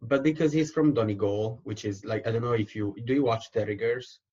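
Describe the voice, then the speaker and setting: A young man from Italy speaking English with a distinct Italian accent, hosting a relaxed chat. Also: medium pitch, brisk. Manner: relaxed, lots of "like". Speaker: podcast host. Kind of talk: casual conversation. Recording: slightly dull, lo-fi sound.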